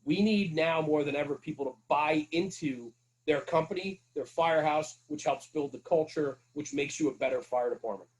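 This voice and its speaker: A man's voice: a little high, moderate pace, poor audio. A man speaking as a podcast guest, telling stories conversationally in an earnest, emphatic way with rhetorical check-ins. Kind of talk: conversational storytelling about firehouse culture.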